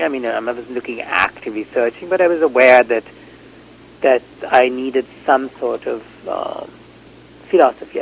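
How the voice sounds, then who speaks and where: A man's voice: medium pitch, vocal fry, slight background noise, poor audio. A man from Sri Lanka, a novelist, answering interview questions in a reflective, hesitant way with many fillers and restarts.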